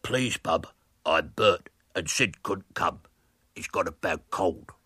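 A man voicing a scheming schoolboy who fakes a deep, throaty, slow-witted voice in a storybook reading. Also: pushed and bright, slightly rough, no room sound, full character voice.

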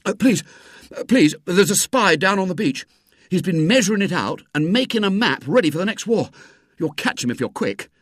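A man's voice: medium pitch, sing-song, moderate pace, fairly bright tone, no room sound, studio quality, pitched above the performer's own voice. A man voicing a small, self-important boy who fancies himself a spy, in a storybook reading. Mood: urgent. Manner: darkly boastful, self-important. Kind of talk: acted dialogue in storybook reading.

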